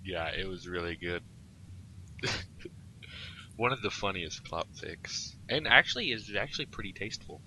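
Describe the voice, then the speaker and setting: Deep-voiced, bright male story reader. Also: lively intonation, normal speed, long pauses, slight background noise, rough recording. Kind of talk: comic fan fiction narration.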